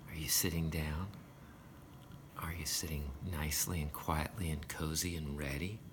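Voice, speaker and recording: An older man, a grandfather, reading a classic poem aloud to young children in a gentle storytelling manner, with short asides to explain. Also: rumbling low, fairly even intonation, normal speed, noisy background.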